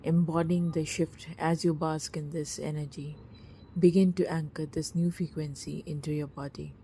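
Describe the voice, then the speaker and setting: A woman narrating a scripted guided meditation in a soft, breathy, husky voice, with a slow, soothing, hushed delivery and long pauses. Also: deep voice, little pitch movement, a little hiss or hum, low-quality recording.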